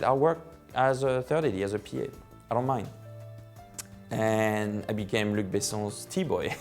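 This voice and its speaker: French-accented man, low voice, chatty. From France. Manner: rambling, many fillers and restarts. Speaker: film director. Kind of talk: casual personal storytelling. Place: living room of his home.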